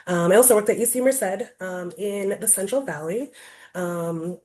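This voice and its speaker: A woman's voice: medium pitch, normal speed, mellow. Chatty woman presenting over a call. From Rhode Island, US. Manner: chatty, many fillers like um and like. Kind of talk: casual online presentation. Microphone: video call.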